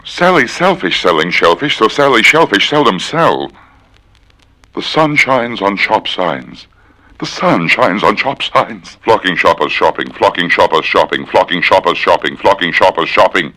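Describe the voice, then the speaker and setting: A man's voice: sits low, animated, normal speed, sharp tone. A man giving a theatrical recitation of wordplay with precise, dramatic enunciation. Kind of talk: theatrical tongue-twister recitation.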